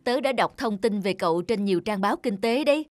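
A woman's voice: medium pitch, lively intonation, a little fast, soft background music, close to an everyday voice. A woman playing a jovial, effusive young man, an old friend, in a cartoon. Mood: admiring. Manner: effusive, chummy. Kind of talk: cartoon dub acting.

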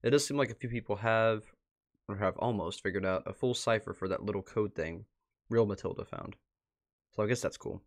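A man with a fairly low voice hosts a livestream in a casual, chatty way. He talks as if to viewers and to himself, dropping muttered asides as he works through what is on his computer. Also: brisk. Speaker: livestream host. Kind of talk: casual livestream commentary, reading posts aloud. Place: indoors at a computer.

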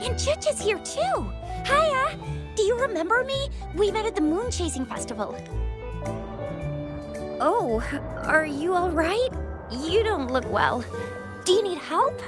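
An actress playing a friendly, bubbly young patrol officer in a video game, eager and outgoing. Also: female voice, very high-pitched, sing-song, a little slow, long pauses, music in the background, exaggerated voice. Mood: concerned. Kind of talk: voice-acted game dialogue.

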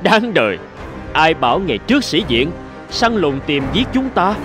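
A man's voice: fairly high pitch, big pitch swings, normal speed, over music. A man voicing a spiteful, cocky male sardine in a cartoon fable, mocking and gloating theatrically. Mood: taunting. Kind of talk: animated fable voice acting.

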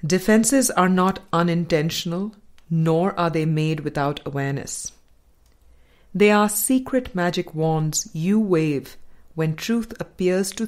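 A woman reading a spiritual book aloud in a measured, deliberate and formal way. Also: lowish voice, a little mellow. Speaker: audiobook reader.

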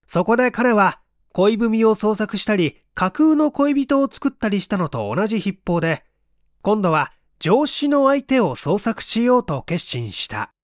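A man's voice: sits high, big pitch swings, a little slow, dry recording, clean studio sound. A man reading literary fiction aloud as a dramatic audiobook narrator. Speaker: audiobook reader, stage actor.

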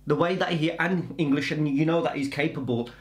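A middle-aged man giving a casual film trailer review in halting, rambling explanations. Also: a little high. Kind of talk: casual reaction chat. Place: indoor room with bookshelves. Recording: echoey indoor room.

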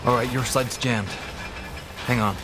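An actor playing an earnest, determined young superhero sidekick in a video game, speaking in a terse, urgent, practical way. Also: male voice, mid-range voice, fairly even intonation, measured pace, natural voice. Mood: urgent, concerned. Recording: clear background noise.

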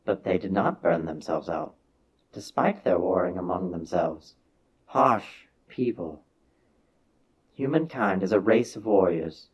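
A man with a husky voice giving a solemn, measured, theatrical reading of a science fiction story as its narrator. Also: fairly low pitch, darkish tone, highs cut off.

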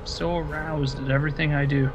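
A man's voice: fairly high pitch, flat delivery, fairly quick, muffled recording, over music. A man bantering casually with friends while playing an online game, with faint noise behind him. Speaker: player in an online horror game.

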